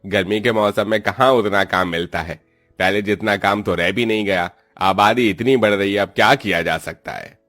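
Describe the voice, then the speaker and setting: A man playing a gruff, scolding boss and landlord in a cartoon story. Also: fairly low pitch, moderate pace, a bit bright, faint music behind, lightly acted. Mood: brusque. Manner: scolding, brusque. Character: bossy, gruff male overseer and threatening landlord.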